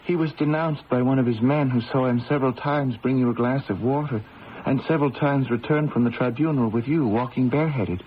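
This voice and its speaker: An actor playing an earnest, deferential young gendarme, respectful and hesitant, in an old-time radio drama. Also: male voice, mid-range voice, brisk, natural voice. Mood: formal. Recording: dull lo-fi radio, faint noise.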